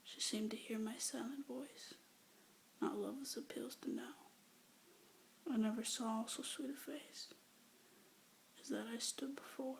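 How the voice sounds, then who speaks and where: A young woman whispering love poems aloud with hesitant, rambling asides between them, breathy and soft, close to a phone or webcam mic. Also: on the high side, fairly even intonation, measured pace, long pauses, low-quality recording. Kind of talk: whispered poetry reading, ASMR-like.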